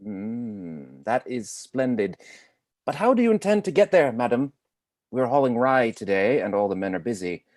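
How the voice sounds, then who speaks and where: Man playing an animated, blustering estate manager. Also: mid-range voice, moderate pace, no room sound, studio quality, close to an everyday voice. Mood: hearty. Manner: emphatic, animated. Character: blustering, obstinate estate manager, polite then exasperated. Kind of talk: cold play reading over video call.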